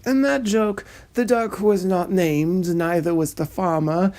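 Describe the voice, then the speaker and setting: A woman's voice: fairly low pitch, fairly even intonation, a little slow, mellow. A woman narrating and hosting a scripted dramatic reading in a pompous, snobby, theatrical manner. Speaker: narrator and host.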